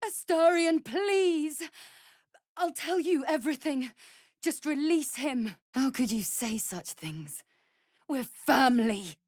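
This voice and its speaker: Earnest, slow-speaking vampire sister. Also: female voice, high voice, lively intonation, darkish tone, no room sound, clean studio sound. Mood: pleading. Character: female vampire spawn, earnest, anxious, loyal sister. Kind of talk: dramatic video game voice acting.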